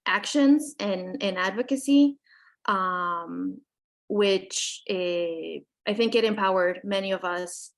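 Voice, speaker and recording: A woman guest reflecting on her advocacy work, speaking haltingly with many restarts. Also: medium pitch. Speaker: guest, immigrant rights advocate. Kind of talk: podcast interview answers.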